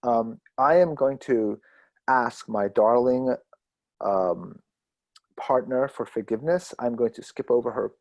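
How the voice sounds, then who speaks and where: A man's voice: medium pitch, a little slow, gravelly, a little mellow, no echo. A man hosting and moderating a literary conversation over a video call, enthusiastic but rambling, with many fillers. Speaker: host and moderator.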